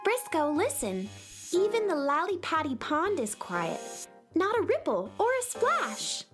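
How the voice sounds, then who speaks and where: A woman's voice: very high voice, wildly swooping pitch, measured pace, fairly bright tone, soft background music, heavily acted. A woman playing a kind, curious young space heroine in a children's radio drama, polite and earnest. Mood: worried wonder.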